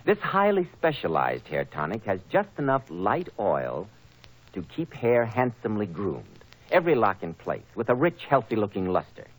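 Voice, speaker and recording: Old time radio announcer guy, very animated pitch, polished salesman delivery, vintage recording. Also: normal speed, rough recording.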